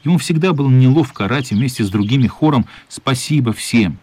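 A man narrating a children's radio play as a wry storyteller with a husky voice. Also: mid-range voice, animated, a little fast, a little mellow, no room sound. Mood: storytelling.

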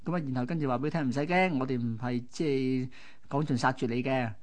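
Mid-pitched Hong Kong man telling stories. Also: quick pace. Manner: explanatory, colloquial, piecemeal phrasing. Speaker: radio co-host. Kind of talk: conversational storytelling.

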